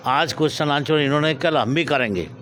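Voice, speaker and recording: Very fast-talking man giving a motivational speech. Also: fairly high pitch, fairly bright tone. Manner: exhorting, formal address. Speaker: speaker addressing school pupils.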